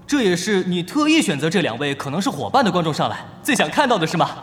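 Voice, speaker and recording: A man playing a lively esports stage host in an audio drama, with a polished, enthusiastic announcer delivery. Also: high voice, fast, a little hiss or hum. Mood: upbeat, announcing. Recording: long stage reverb, faint music behind.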